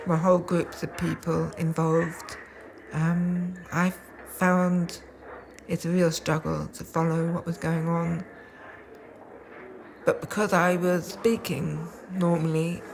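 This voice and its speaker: A woman speaking reflectively and haltingly in a documentary, with deliberate pauses. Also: fairly low pitch, gravelly, background noise. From England. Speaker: deaf poet. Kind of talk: reflective spoken documentary, with read poetic lists.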